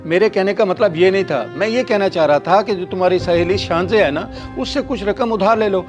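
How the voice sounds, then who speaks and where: A man's voice: sits high, fairly quick, lo-fi sound, music in the background, natural voice. A man playing a paternal, money-minded father who counsels his grown daughter in a moral drama. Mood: practical, coaxing. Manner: paternal, advising. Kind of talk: acted moral-story drama dialogue.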